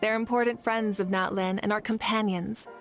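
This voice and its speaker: A woman voicing a poised, courteous young ruler, measured and a bit awkward, in a video game. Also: mid-range voice, fairly even intonation, close to an everyday voice. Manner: polite, measured, a little awkward. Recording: game audio with faint music.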